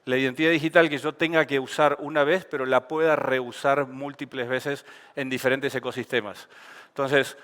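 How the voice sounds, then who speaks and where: A man's voice: medium pitch, flat delivery, moderate pace, a bit bright. A man with an Argentine accent giving a casual, joking conference talk on stage. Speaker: conference speaker. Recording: reverberant event hall.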